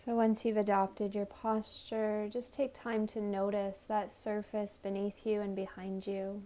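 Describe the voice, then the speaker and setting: Woman, meditation guide, gentle soothing delivery, very even pitch, mellow warm tone. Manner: soothing, gentle, unhurried invitations. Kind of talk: guided meditation, body scan narration.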